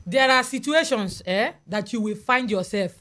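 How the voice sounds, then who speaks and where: Nigerian woman, football panelist, high voice, bright tone, exclamatory. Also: moderate pace. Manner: animated, exclamatory. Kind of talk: casual football banter discussion.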